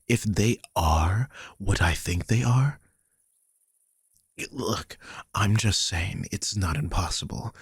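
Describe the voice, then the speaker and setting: A man's voice: deep voice, dry recording, studio quality, full character voice. A man playing a worried, guilty vampire king who is protective of his charge, confiding wearily in an audio roleplay. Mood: worried, urgent. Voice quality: husky, breathy. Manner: confiding, weary, sighing, swears. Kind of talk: dramatic audio roleplay, one side of a conversation.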